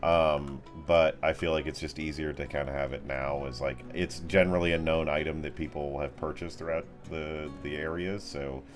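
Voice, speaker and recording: A man's voice: a bass voice, steady tone, normal speed, few pauses, faint music behind. A man running a tabletop game as game master, talking casually in a rambling, hesitant way as he improvises and rephrases.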